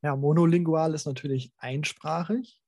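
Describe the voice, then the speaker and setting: A man's voice: fairly high pitch, steady tone, normal speed, mellow, no room sound, studio quality. A man co-hosting a podcast, chatting casually and thinking aloud playfully while he makes a guess. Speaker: podcast co-host.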